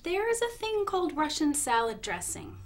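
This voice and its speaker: A woman hosting a comedy talk show in a deadpan, mock-serious, polite manner. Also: high voice, normal speed.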